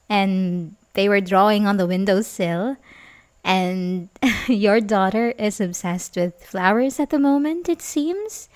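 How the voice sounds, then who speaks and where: A woman's voice: medium pitch, a little mellow, natural voice. A young woman acting an ASMR roleplay as a sweet, coaxing, secretly obsessive babysitter, speaking hesitantly and intimately with little giggles. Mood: giggly, fond.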